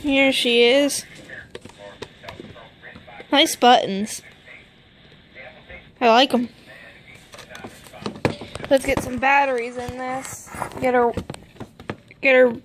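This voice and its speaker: A woman's voice: high-pitched, flat delivery, extremely slow, pauses often, fairly bright tone, slight background noise. A woman casually narrating an unboxing as a vlogger, informal, with muttered asides to herself. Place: indoors at home.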